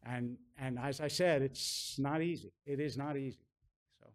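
An American man, a rabbi answering audience questions in a measured, careful way, often qualifying and repeating phrases for emphasis. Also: mid-range voice, fairly even intonation. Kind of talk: thoughtful public question-and-answer talk.

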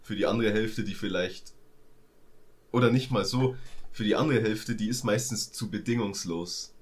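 A man's voice: fairly low pitch, moderate pace. A young man reflecting thoughtfully in a casual podcast chat. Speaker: podcast co-host.

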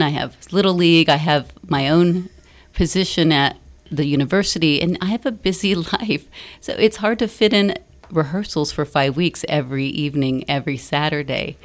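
Middle-aged female interview guest, deep register, sincere conversational delivery that reworks its phrasing. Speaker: stage play director and university teacher, interview guest. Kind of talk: conversational radio interview talk. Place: radio studio.

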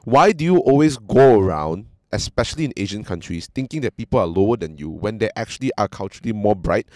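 A young Malaysian man with a Malaysian English accent, chatting casually in a blunt, profane, animated way. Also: lowish voice, fast, dry recording. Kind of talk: casual chat.

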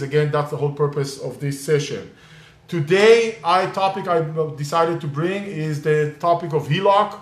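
Halting man explaining real estate finance. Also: high voice, echoey room. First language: Hebrew. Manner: halting, many fillers and restarts. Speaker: real estate investing session host. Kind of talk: live online session, casual explanation. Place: California.

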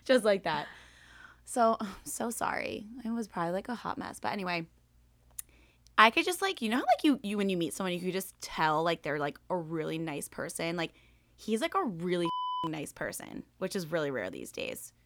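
A young woman co-hosting a casual podcast, talking in a rambling way with lots of fillers and a slightly raspy voice. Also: on the low side, sing-song, moderate pace, a bit bright. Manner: rambling, many fillers, laughs often. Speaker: podcast co-host. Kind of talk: casual chat.

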